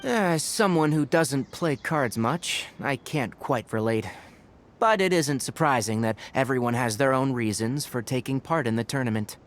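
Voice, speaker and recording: A man voicing a sensible, dry-witted young forest ranger who explains things calmly. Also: mid-range voice, normal speed, a little hiss or hum, lightly acted. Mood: level. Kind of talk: video game voice acting.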